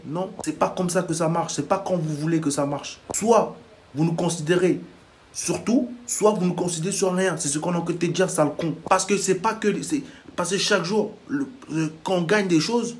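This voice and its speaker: A man from Africa rants straight to camera in a heated reaction vlog. His voice sits a little high for a man. He lectures with indignation and throws in blunt insults, over a faint hiss in the background. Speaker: vlogger.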